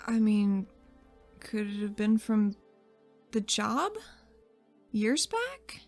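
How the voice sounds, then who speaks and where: A woman takes part in a tabletop roleplaying game as a player. Her voice sits at a medium pitch and she talks casually, with faint music playing behind her. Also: sing-song, extremely slow, darkish tone.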